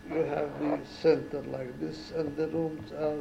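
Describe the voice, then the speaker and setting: A man in his seventies from Syria, a former ambassador giving an oral history interview at home, talking slowly and reflectively with pauses and restarts. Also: fairly high pitch, little pitch movement, vocal fry, reverberant, background noise. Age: 70s.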